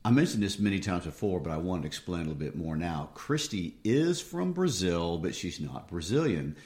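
Deep-voiced man hosting casually. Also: darkish tone. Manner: conversational, jokey, self-correcting. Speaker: podcast host. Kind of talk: casual book discussion.

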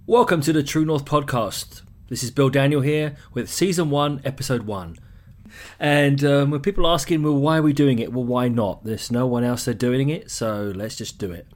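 A man's voice: mid-range voice, moderate pace. Chatty English man hosting a podcast. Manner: chatty, loose, many ums and uhs. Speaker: podcast host. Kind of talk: solo podcast monologue.

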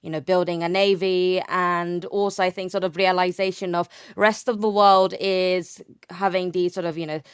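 Female guest expert, young adult, smooth clear tone, informal academic explaining, dry recording. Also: fairly low pitch, flat delivery, normal speed, no room sound. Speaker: interview guest, naval historian.

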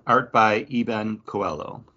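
A man hosts a podcast in a casual, conversational style. His voice is bright and a little rough rather than smooth, with animated pitch movement. The recording sounds slightly dull and lo-fi. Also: slow pace. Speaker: podcast host introducing the main topic. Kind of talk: casual chat.

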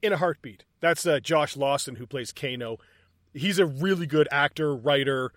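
A talkative man in a casual film review chat who walks through scenes and swears casually. His voice sits a bit higher than most men's and is recorded close and dry. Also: moderate pace, fairly bright tone, no room sound. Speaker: movie review podcast co-host.

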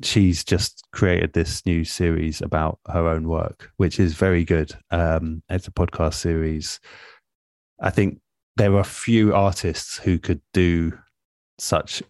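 Man, very low pitch, measured, reflective, pausing mid-phrase, conversational, dry recording. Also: level tone, a little mellow, dry recording. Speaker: radio producer being interviewed. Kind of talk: relaxed conversational interview, reflective advice.

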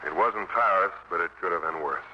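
Man as a low-voiced, wry American GI narrator. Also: lightly acted. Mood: reflective. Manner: measured, wry. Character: thoughtful, dry-witted American GI who narrates the war. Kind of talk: 1950s radio drama narration and dialogue. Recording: noisy, dull 1950s radio recording.

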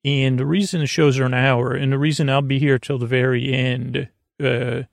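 A man with a creaky voice hosting a podcast in a rambling, soothing, casual bedtime monologue, halting and often trailing off mid-thought. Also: little pitch movement, no room sound, clean studio sound. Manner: soothing, low-key. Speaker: podcast host.

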